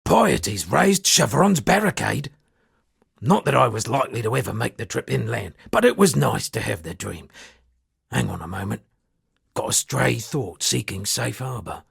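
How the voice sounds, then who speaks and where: A shipwrecked sea captain for a fantasy game, a roguish would-be poet. The voice is heavily creaky and very raspy, swooping expressively through wry, theatrical storytelling. It is recorded close and dry. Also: male voice, mid-range voice, no room sound, exaggerated voice. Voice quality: gravelly, husky. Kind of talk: video game character dialogue.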